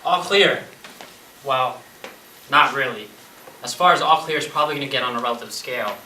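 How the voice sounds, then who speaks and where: Echoing from a distance, a man plays a pedantic, defensive young man on stage. He talks fast in wordy, fussy diction and argues every point. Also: mid-range voice, bright, forceful tone. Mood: matter-of-fact, impatient. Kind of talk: amateur stage play acting. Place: indoor stage, recorded at a distance. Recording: echoey stage recording from afar, faint noise.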